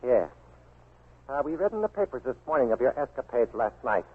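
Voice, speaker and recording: An actor playing a formal, menacing old Japanese baron in a 1940s radio drama, husky, measured and coolly polite. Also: male voice, mid-range voice, sing-song. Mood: coolly polite menace. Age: older. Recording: scratchy narrow-band 1940s radio recording.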